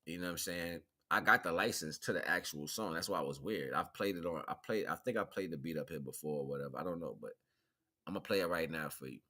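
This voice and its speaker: Casting: American male livestreamer, deep register, bright edgy tone, loose slangy chat. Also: fast talker. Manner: informal, slangy, repeats catchphrases. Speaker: livestream host and independent musician. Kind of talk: casual livestream chat to followers.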